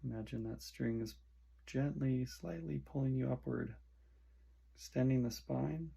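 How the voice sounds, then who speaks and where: A man guiding a meditation in short, soothing phrases with long pauses between them. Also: mid-range voice, vocal fry, dark tone, husky. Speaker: meditation guide. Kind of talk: guided meditation instruction.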